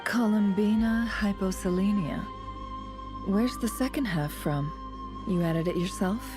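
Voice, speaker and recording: A woman's voice: medium pitch, measured pace, natural voice. A woman playing a cool, shrewd, composed businesswoman in an anime game, with a dry manner. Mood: curious. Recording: faint music behind the voice.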